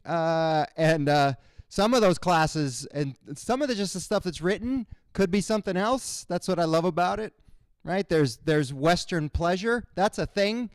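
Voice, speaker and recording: Man, stand-up comic, high voice, swooping lively delivery, smooth clear tone, dry close sound. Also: dry recording. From Cuba, raised in Miami. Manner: animated, shouts voices of characters in his jokes. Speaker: stand-up comedian. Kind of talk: stand-up comedy set. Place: basement room at a college show.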